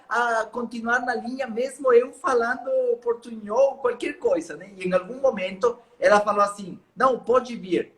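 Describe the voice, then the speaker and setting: High-voiced man telling a motivational story. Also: fairly bright tone. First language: Spanish. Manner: earnest, motivational, with restarts. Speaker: author and guest. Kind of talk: storytelling in a live interview.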